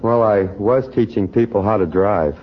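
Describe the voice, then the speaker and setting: A man with an American accent answers casually as a contestant. His voice is fairly low, halting and sheepish, on a muffled, noisy vintage radio recording. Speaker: quiz show contestant.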